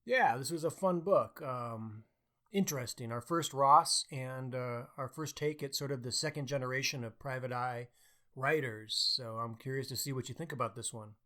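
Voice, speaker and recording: A man's voice: mid-range voice, normal speed, bright, forceful tone. A man co-hosting a podcast, chatting casually about books. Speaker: podcast co-host. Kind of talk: casual book chat.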